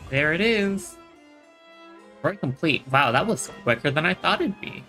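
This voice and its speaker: Creaky-voiced, chatty game streamer. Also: medium pitch, expressive, normal speed, pushed and bright. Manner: chatty, musing to self, trailing off. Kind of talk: casual game livestream commentary. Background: faint game music.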